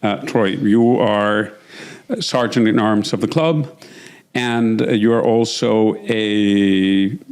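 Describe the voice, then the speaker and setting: This is a man in his forties, from Mexico, hosting an interview with a very low, deep voice. He asks questions and speaks about himself in a measured, deliberate way. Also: steady tone, a little mellow, smooth. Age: 40s. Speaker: host. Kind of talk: conversational interview.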